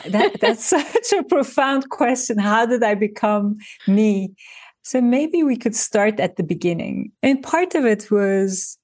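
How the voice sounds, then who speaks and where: A woman's voice: a little high, animated, a little slow, darkish tone, no room sound, clean studio sound. A woman from Turkey being interviewed, explaining her ideas thoughtfully through examples, with frequent restarts. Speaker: designer and author, interview guest. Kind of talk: reflective interview conversation.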